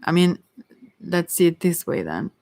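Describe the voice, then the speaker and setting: A woman with a creaky voice giving an ASMR tarot reading in a hesitant, rambling, casual way, often trailing off. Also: on the low side, sing-song, a little slow, warm tone, no room sound. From Sweden. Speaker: tarot reader, ASMR creator.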